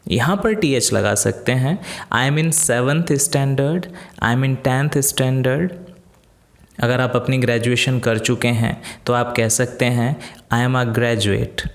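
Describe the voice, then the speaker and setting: Male Indian tutor, mid-pitched, unhurried and methodical, explaining step by step and drilling example phrases. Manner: methodical, repeats example phrases slowly and deliberately. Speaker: English teacher. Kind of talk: instructional lesson, explaining in steps with examples.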